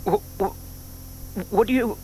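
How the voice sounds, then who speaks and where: An actor playing a shy, lonely retired gentleman, hesitant and wistful, in an audio drama. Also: very high-pitched, lively intonation, slow, full character voice, rough recording. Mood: nervous, faltering. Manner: hesitant, wistful, muttering to himself. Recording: faint hiss on old cassette drama.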